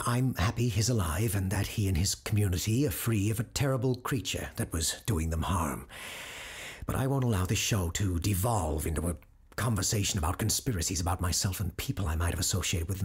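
A man's voice: sits low, husky, exaggerated voice. A man playing a cold, self-assured radio doctor. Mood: firm. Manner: clinical, self-assured, dismissive. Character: cold calculating male radio host doctor, supernatural expert. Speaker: radio host. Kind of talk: audio drama acting.

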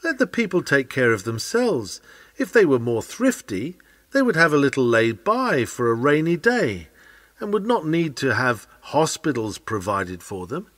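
A man with a mid-pitched voice reads a sermon aloud. His delivery is earnest and oratorical, phrased with care, and his intonation rises and falls in a lively way. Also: a little slow. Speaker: sermon reader. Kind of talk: reading a Victorian sermon aloud.

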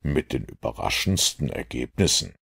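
A master detective in an audiobook, played by a male reader, with an extremely deep, gravelly voice. He lectures calmly and precisely, sure of himself and in no doubt of his conclusions. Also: heavily acted. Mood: calm, knowing. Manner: measured, didactic, self-assured. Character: composed, lecturing master detective, adult man.

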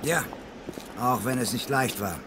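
An actor playing a composed, measured male spaceship leader in an audio drama. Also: medium pitch, natural voice. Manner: measured, matter-of-fact. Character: composed male space-ship team leader, level-headed. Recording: roomy echo, noisy ambience behind.

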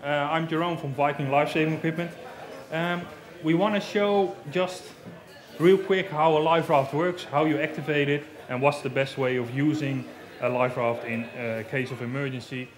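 A man's voice: fairly high pitch, fairly even intonation, moderate pace, a crowd in the background. A man in his thirties with a Dutch accent, giving a live stage demonstration in a marquee on a headset mic, explaining plainly with many fillers. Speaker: safety equipment rep. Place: large marquee at outdoor show.

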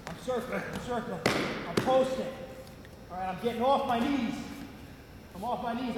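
A fairly high-voiced man teaches a wrestling clinic. He talks step by step and leans on "all right" between moves. He is caught by a camera far off in a big arena with a long, echoing reverb and clear hum behind him. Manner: instructive, repeats "all right" often. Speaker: wrestling coach. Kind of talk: clinic demonstration. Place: large gym arena with wrestling mat.

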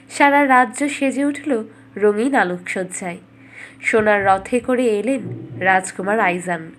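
A woman's voice: mid-range voice, a little hiss or hum. A woman reading scripted fairytale narration for a cartoon as a storyteller.

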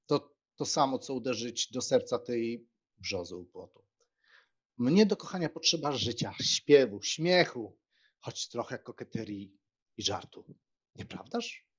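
A cheerful young gentleman of an audiobook drama, acted by a man, bantering and talkative. His voice is mid-pitched and husky, ironic in tone, on a slightly muffled recording. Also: very animated, a little slow, full character voice. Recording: slightly dull, muffled sound.

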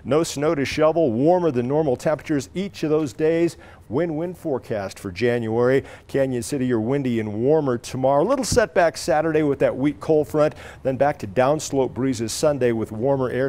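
A middle-aged man delivers a TV weather forecast from a studio. His voice sits at a medium pitch, and his manner is friendly, folksy and conversational. He is picked up cleanly on a lapel mic. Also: normal speed, warm tone. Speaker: TV meteorologist. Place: TV studio in front of a weather wall.

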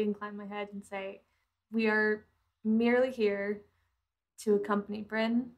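A woman playing a tabletop roleplaying game, chatting casually and saying what her character does. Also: mid-range voice.

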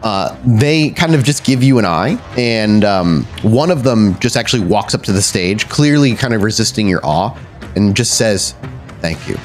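A man's voice: fairly low pitch, lively intonation, moderate pace. A man narrating a tabletop horror game as storyteller, in a casual, conversational style. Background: faint music.

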